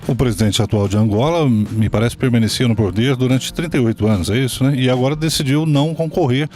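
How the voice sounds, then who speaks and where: A man's voice: mid-range voice, fast, a little mellow, faint music behind. A man interviewing a guest, checking facts as he asks his question.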